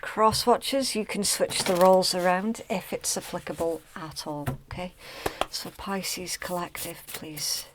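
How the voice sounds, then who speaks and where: A woman with a slightly raspy voice, chatting casually through a tarot reading with many ums and restarts. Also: on the low side, steady tone, a little slow, background noise. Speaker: tarot reader.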